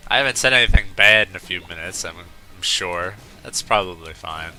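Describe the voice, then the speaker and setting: A man's voice: deep voice, normal speed, bright, forceful tone, faint music behind. A man hosting a let's play, chatting casually and rambling into off-topic asides while he plays. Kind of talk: casual gameplay commentary.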